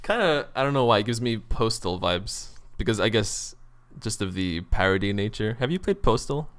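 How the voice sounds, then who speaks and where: Low voiced guy hosting a chill gaming podcast, jokey rambly chat. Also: lively intonation, normal speed. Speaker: podcast host.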